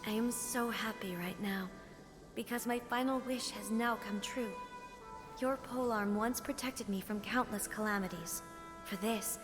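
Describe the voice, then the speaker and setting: Brief: actress as a serene, kind goddess, soft and unhurried, fairly high voice, strong game score behind. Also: female voice, close to an everyday voice. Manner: soft, measured, ceremonial delivery. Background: game soundtrack music.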